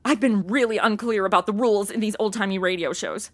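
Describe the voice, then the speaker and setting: A woman's voice: mid-range voice, quick pace, natural voice. A woman playing a flighty, slangy young modern American in an audio comedy, blunt and easily rattled. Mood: anxious, baffled. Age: young adult. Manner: casual valley-girl phrasing, exasperated and blunt. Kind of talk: comedy audio drama acting.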